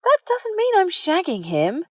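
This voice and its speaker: A woman voicing a practical, prim, defensive young witch healer in an audiobook, brisk, precise and easily flustered. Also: extremely high pitch, huge pitch swings, a little mellow, no room sound, clean studio sound, recorded from a distance, voice pushed up, heavily acted. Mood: flustered, indignant. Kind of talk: audiobook character dialogue.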